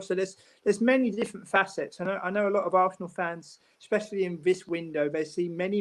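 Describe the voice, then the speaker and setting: A man speaking as a guest pundit in a casual football discussion, measured and explanatory, often repeating words as he thinks. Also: high-pitched.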